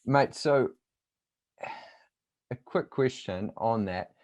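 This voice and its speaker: A man's voice: mid-range voice, takes it slowly, darkish tone. A man with a New Zealand accent in casual conversation as an interviewer and coach, informal and matey.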